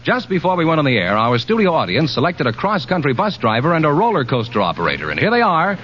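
Bright, animated American radio announcer man. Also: fairly high pitch, fast talker, slightly rough. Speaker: announcer and sidekick. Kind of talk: old-time radio announcing. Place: studio stage before an audience. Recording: dull old-time radio recording.

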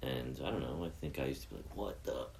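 A man's voice: rumbling low, lively intonation, fast talker, gravelly, darkish tone, a little hiss or hum. A man chatting casually in a group class, rambling through a story with lots of fillers. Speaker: class participant. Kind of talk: casual chat.

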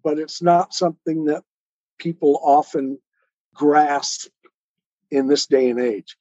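A man with a husky, slightly dark voice talks reflectively as a guest author. He explains things in a conversational way. The sound is dry and somewhat muffled. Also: on the high side, slow, dry recording. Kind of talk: reflective podcast interview talk. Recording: dull, muffled lo-fi audio.

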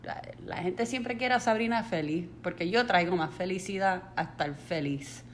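A young woman from Puerto Rico with a Puerto Rican accent, telling stories in a casual, animated vlog monologue and dropping English phrases into her talk. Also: lowish voice, moderate pace, slight background noise. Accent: Puerto Rican Spanish. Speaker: vlogger.

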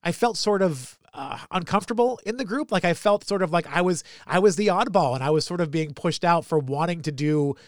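Host, man: casual, rambling and friendly, slightly high voice, dry close-mic sound. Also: brisk, few pauses, dry recording. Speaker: podcast host. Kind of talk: podcast interview conversation.

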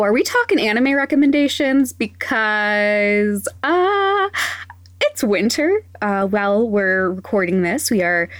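Upbeat woman host gushing about a show, higher voice, dry close mic. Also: no echo. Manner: enthusiastic, chatty, laughs often. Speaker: podcast host. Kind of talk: casual recommendation segment.

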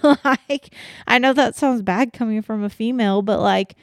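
A woman's voice: on the high side, normal speed, no echo, studio quality. A woman co-hosting a casual podcast, talking bluntly with plenty of swearing and laughter. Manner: blunt, profane, laughs often. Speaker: podcast co-host.

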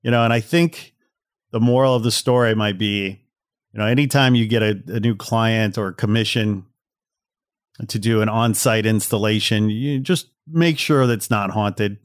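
A man with a fairly low voice hosts a podcast, recorded close and dry. He comments casually and wryly, addressing listeners directly as if in conversation. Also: normal speed, dry recording. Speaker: podcast host.

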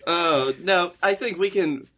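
A man chats casually as a comedy podcast host. His voice sits slightly high, and he spins things out in a playful, animated storytelling way. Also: takes it slowly. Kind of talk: casual comic banter.

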